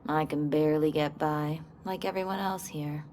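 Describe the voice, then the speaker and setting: Casting: woman as world-weary townswoman for game, dark lowish voice, sarcastic. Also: fairly even intonation, normal speed, slight background noise, lightly acted. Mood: wry, bitter. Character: jaded, broke small-town local woman.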